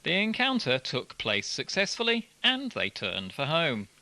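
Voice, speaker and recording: A man's voice: a little high, huge pitch swings, measured pace, pushed and bright. A man reading articles aloud as scripted narration, as a radio presenter.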